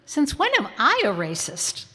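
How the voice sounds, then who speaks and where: A woman delivers short scripted statements slowly in a bright, forceful voice. It is pitched fairly high and echoes through a large hall. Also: huge pitch swings, breathy edge. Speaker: reader of short personal statements. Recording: long hall reverb.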